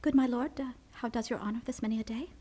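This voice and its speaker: High-voiced, wounded young noblewoman. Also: steady tone, fast, warm tone, husky. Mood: hurt, earnest. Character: gentle, wounded young noblewoman spurned by her beloved. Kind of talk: classical Shakespearean stage acting.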